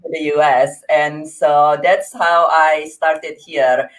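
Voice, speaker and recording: A woman in her forties with an Indonesian accent, a guest in an interview, speaking earnestly with frequent laughs and halting restarts. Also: sits low, fairly even intonation, poor audio. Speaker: interview guest, grief coach. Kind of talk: conversational interview.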